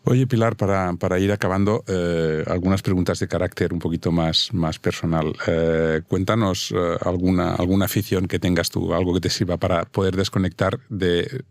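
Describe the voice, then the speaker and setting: A man hosting a podcast, asking his questions in a halting way and often restarting them. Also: low voice, level tone, dry recording. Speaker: podcast host. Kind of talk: interviewing.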